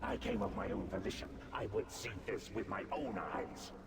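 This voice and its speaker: An actor playing a proud, scornful god in a fantasy game, with a haughty, taunting delivery. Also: male voice, fairly low pitch, moderate pace, recorded from a distance, crowd noise, natural voice. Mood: defiant. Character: proud, scornful male god, theatrical and defiant. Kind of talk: scripted fantasy game dialogue.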